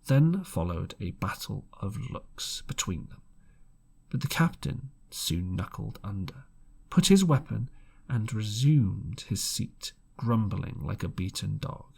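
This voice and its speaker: A man reading an adventure storybook aloud in an expressive amateur style, dramatic and slightly halting. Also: on the low side, vocal fry, husky. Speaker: audiobook reader.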